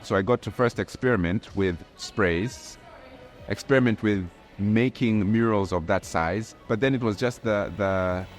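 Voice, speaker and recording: A young man from Tanzania, a graphic artist, talking in a relaxed, reflective way with many restarts and fillers. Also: on the low side, unhurried. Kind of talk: casual interview talk. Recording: faint music underneath.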